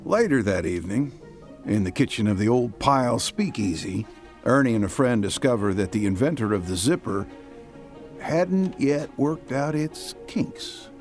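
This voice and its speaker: Man as radio play announcer over soft music. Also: medium pitch, expressive, normal speed, close to an everyday voice. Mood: scene-setting. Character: old-time radio show narrator setting scenes. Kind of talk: scripted radio narration.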